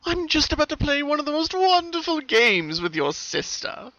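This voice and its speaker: A man voicing a crazed, soulless young male cult pony who taunts in a drawn-out, mock-cheerful and cruel way. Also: very high-pitched, big pitch swings, bright, forceful tone, dry recording, voice pushed up, full character voice. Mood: gleeful menace. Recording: dull, muffled home recording.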